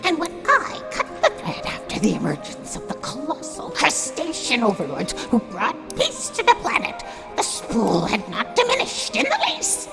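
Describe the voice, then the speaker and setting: Woman as grandiose goddess of fate, high creaky voice, theatrical and formal, audio drama. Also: wildly swooping pitch, moderate pace, full character voice. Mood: grand, approving. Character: keen, grandiose female weaver of fate. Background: music.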